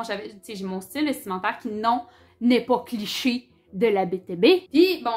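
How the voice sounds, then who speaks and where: A young woman with a Quebec French accent, from Abitibi, talking candidly and casually about books in her vlog. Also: medium pitch. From Abitibi-Témiscamingue, Quebec. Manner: chatty and candid, with fillers, English words and swearing. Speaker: book YouTuber. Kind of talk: casual book-review vlog.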